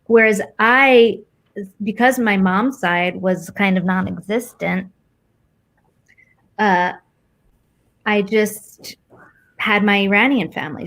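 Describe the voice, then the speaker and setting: A woman's voice: medium pitch, gravelly, fairly bright tone. A woman from the United States with an American accent, talking casually and reflectively as an interview guest, informal and thinking aloud as she goes.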